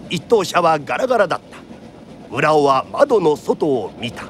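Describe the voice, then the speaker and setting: A man's voice: on the high side, very animated, moderate pace, fairly bright tone, a little hiss or hum. A man narrating a radio drama in the theatrical, declamatory style of a traditional Japanese storyteller.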